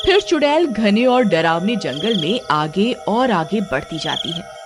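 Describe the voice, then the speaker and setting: A woman's voice: medium pitch, very animated, unhurried, over music. A woman giving scripted storytelling narration as the narrator of a tale.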